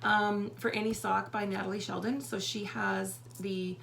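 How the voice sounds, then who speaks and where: Gravelly, husky woman. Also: mid-range voice, level tone, normal speed, fairly bright tone. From Canada. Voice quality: husky, scratchy. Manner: chatty and rambling, with many fillers. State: hoarse. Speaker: podcast co-host. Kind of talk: casual chat.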